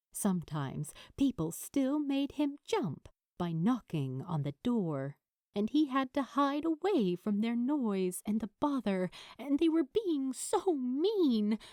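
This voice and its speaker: A woman reading scripted fiction aloud as a narrator, with an expressive, dramatic delivery. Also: a little high, no room sound, studio quality.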